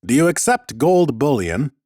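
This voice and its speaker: A man's voice: mid-range voice, sing-song, normal speed, a little mellow, dry recording, studio quality, pitched above the performer's own voice. A man voicing an ancient, mighty lich in a fantasy audiobook, bookish and courteous, with a formal, pompous manner. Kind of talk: audiobook character acting.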